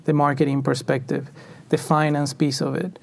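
A man from Venezuela talks through his answers in a relaxed interview, his voice somewhat higher than most men's and carrying a gravelly edge. He thinks aloud, circling back and restarting his sentences as he goes. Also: normal speed. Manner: thoughtful, many restarts and fillers. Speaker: packaging engineer, interview guest.

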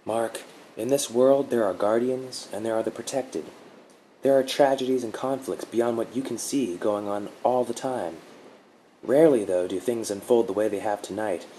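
A man voicing a sweet-talking female dark guardian spirit, soothing and gently mocking. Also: on the low side, moderate pace, a little hiss or hum, pitched above the performer's own voice, lightly acted, poor audio. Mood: explaining.

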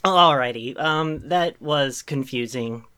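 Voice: medium pitch, takes it slowly, bright, forceful tone, clean tone. A podcast host in casual comedic chat, laughing and reacting playfully while reading listener posts.

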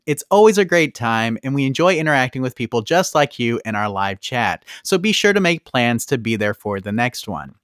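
A man's voice: medium pitch, a bit bright, clean tone. A man co-hosting and producing a live podcast, chatting casually and reading out viewer messages in an upbeat, announcer-like way.

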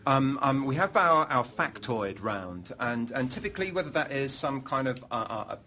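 A man's voice: medium pitch, lively intonation, normal speed, sharp tone. A man hosting a live panel event, talking with many fillers and repeated words. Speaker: host.

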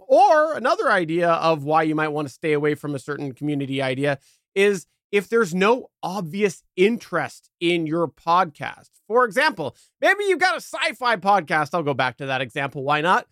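A man hosting a podcast discussion, explaining points in a measured, methodical and tentative way. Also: a little high, fairly bright tone, no room sound, clean studio sound. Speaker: podcast host.

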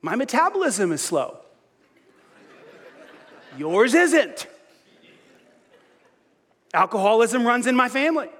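A middle-aged man preaching a sermon as pastor, lively and self-mocking, often restarting his sentences. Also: very high-pitched, slow pace, long pauses, long reverb tail.

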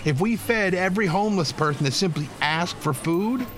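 A man's voice: high voice, expressive, normal speed, a bit bright, a little hiss or hum. A man playing a dismissive, by-the-book supermarket manager in an audio drama, explaining things in a condescending way. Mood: condescending, firm. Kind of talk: full-cast audio drama acting.

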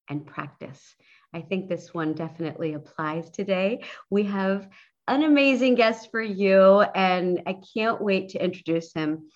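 Woman podcast host, eager and upbeat, recorded a little dull. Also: medium pitch. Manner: upbeat, enthusiastic. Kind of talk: podcast interview.

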